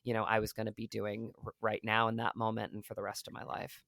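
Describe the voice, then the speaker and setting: A man's voice: on the low side, completely flat delivery, quick pace, gravelly, a bit bright, no room sound, studio quality. A man speaking as an actor guest in an interview, telling personal stories in an earnest, reflective way with frequent verbal hedging. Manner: earnest, hesitant, many "like" and "you know".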